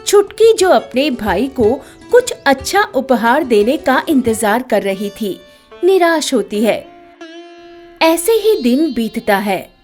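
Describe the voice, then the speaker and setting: A woman's voice: high voice, very animated, takes it slowly, darkish tone. A woman narrating a scripted children's moral story as the storyteller. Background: background music.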